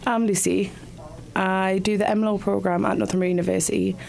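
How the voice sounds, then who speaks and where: Twenties Geordie woman, law student guest, friendly and giggly, mid-pitched. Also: flat delivery, brisk, gravelly, a little mellow. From Newcastle, England. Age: 20s. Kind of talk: interview answers. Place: radio studio.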